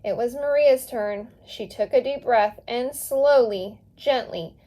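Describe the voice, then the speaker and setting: High-pitched woman reading a children's story. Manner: expressive, dramatizing dialogue, emphatic pauses. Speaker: teacher reading a storybook aloud. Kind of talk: animated children's read-aloud with casual asides.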